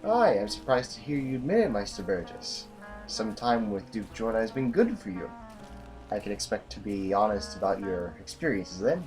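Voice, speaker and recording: A man's voice: on the low side, very animated, normal speed, rough recording. Man acting a grim, blunt general over music. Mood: subdued. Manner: blunt, commanding, brooding. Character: grim, stern, disillusioned military general. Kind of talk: audio drama acting.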